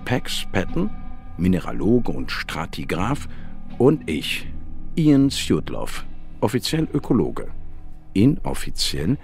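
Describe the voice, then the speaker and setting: A man's voice: low-pitched, huge pitch swings, slow, creaky, a little mellow, exaggerated voice. An actor playing a middle-aged scientist narrating his mission dryly and wryly in a science fiction radio play. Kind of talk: scripted first-person narration, German audio drama. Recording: music under the voice.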